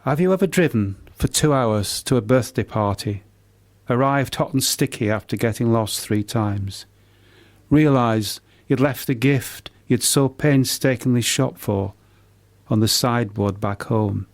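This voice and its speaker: An older British man, a poet being interviewed, who speaks haltingly and often repeats the starts of his words. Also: mid-range voice. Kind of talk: casual radio interview.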